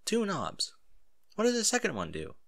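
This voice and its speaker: A man's voice: sits high, wildly swooping pitch, moderate pace, fairly bright tone, pitched above the performer's own voice, heavily acted. A man voicing a naive, curious young male newcomer who wonders aloud informally and gets easily flustered, in a story. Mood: puzzled.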